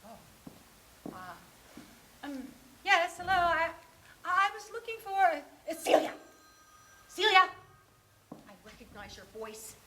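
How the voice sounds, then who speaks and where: An actress playing an anxious, clumsy, lovelorn woman in a theatrical monologue, halting and scolding herself with dramatic swings. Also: very high voice, sing-song, sharp tone, exaggerated voice. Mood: nervous, flustered. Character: anxious, clumsy, lovelorn mistress rehearsing a dreaded phone call. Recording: echoey room with faint noise.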